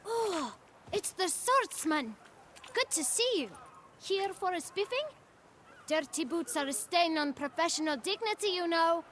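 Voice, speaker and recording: A cheeky street urchin boy played by a woman with a very high, swooping voice. He is a chatty little bootblack in a fantasy game, all folksy patter, with faint ambience around him. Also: animated, a little slow, a bit bright, slight background noise, heavily acted. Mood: cheeky, eager. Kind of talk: video game voice acting.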